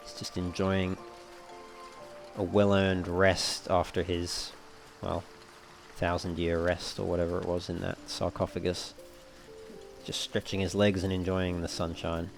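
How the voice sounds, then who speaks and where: Low-voiced man with a gravelly edge. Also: little pitch movement, a little slow, soft background music. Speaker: let's play host. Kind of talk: casual gameplay commentary, reading item text aloud.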